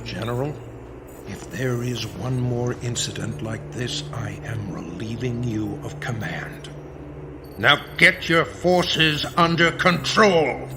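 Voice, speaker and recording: An actor playing a measured, authoritative, stern president in a radio drama. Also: male voice, mid-range voice, music in the background. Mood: stern warning. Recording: clear background noise.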